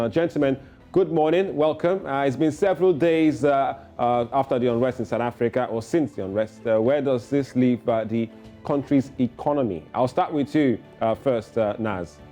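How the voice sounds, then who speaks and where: A man's voice: mid-range voice, normal speed, dark tone, soft background music. A man anchoring a morning news show, presenting with many fillers and hesitations.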